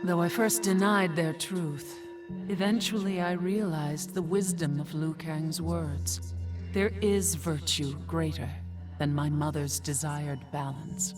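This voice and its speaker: An actress playing a stern goddess of light who speaks in a serene, preaching manner. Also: female voice, low voice, fairly even intonation, slow pace, music in the background. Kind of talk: game cutscene narration.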